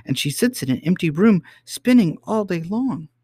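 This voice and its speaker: A man's voice: sits high, animated, moderate pace, warm tone, breathy edge, no echo, pitched above the performer's own voice. A man voicing an earnest, indignant little princess in a storybook, eager and insistent in a childlike way.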